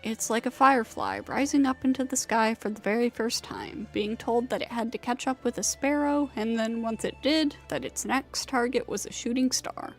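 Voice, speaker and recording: A woman's voice: fairly high pitch, expressive, moderate pace, hardly any pauses. A woman voicing a burnt-out, self-doubting young female flying rider in a video game, weary and bitter as she vents. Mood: weary, dejected. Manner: weary, venting, self-deprecating. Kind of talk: scripted video game voice acting. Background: game music.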